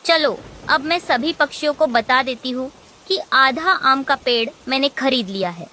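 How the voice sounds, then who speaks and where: A kind little female sparrow, acted by a woman, talks in a high, bright voice. She sounds friendly and assured, a clever helper in an animated moral tale. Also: a little slow, slight background noise, exaggerated voice. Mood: confident. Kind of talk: animated children's moral story dialogue.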